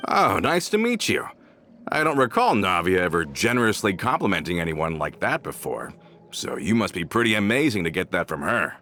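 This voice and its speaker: A man's voice: deep voice, big pitch swings, normal speed, bright, forceful tone, a little hiss or hum, full character voice. A man voicing a loyal, earnest gang veteran in a video game, with emotive delivery. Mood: friendly. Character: loyal male gang veteran, shaken by loss.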